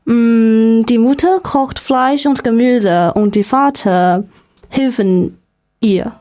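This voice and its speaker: A woman from China with a Chinese accent, a native Chinese speaker giving prepared, somewhat halting answers as a radio guest. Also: on the high side, warm tone. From Shanghai, China.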